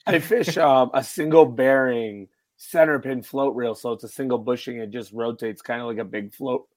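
A raspy man from western New York, a fishing guide in casual chat, matter-of-fact as he explains gear in detail. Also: mid-range voice, moderate pace, a little mellow.

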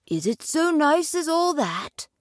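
A woman plays a timid, innocent storybook mole, a little fellow full of wonder. The words come out slowly and drawn out, recorded dry and close. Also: high voice, sing-song, no room sound, voice pushed up, full character voice. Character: shy, naive, easily delighted male mole. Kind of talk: storybook character reading.

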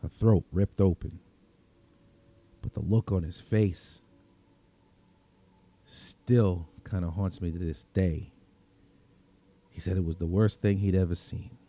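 A man's voice: sits low, fairly even intonation, slow, pauses often, dark tone, full character voice. A man reading a first-person story as a world-weary, streetwise vigilante vampire, in a conversational, brooding Brooklyn accent. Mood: reminiscing. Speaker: story reader.

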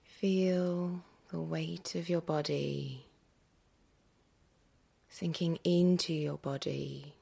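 Hushed, very slow woman guiding meditation. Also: sits low, fairly even intonation. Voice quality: breathy, husky, often near-whisper. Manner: gentle, unhurried, with long pauses. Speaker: yoga nidra meditation guide. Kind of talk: guided meditation, hushed and soothing.

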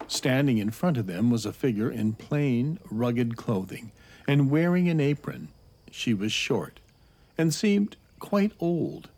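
A man narrating a children's fantasy story as a measured storyteller. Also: mid-range voice, a little mellow, slightly rough, full character voice.

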